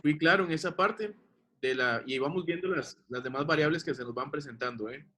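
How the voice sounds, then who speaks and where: A man's voice: a little high, a little fast, bright, forceful tone. A man teaching a class, explaining patiently and checking that the students follow. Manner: patient, checks understanding with questions.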